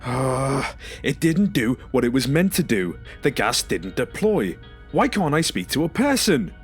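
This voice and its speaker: A man's voice: mid-range voice, sing-song, a little slow, soft background music. A man acting in an audio drama as a distressed, sarcastic and exasperated survivor, indignant and pleading. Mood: frustrated.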